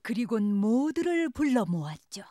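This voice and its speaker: A woman narrating a children's story in a bright, singsong storyteller's manner. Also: on the high side, very animated, a little slow, no room sound. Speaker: storyteller host. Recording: music under the voice.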